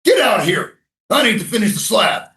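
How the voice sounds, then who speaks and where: A woman plays an impatient, hostile army soldier in a stage play. The lines come out curt and barked, in a mid-pitched voice. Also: sing-song, fairly quick, a bit bright, reverberant. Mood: irritated. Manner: barking, curt. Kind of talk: theatrical staged reading.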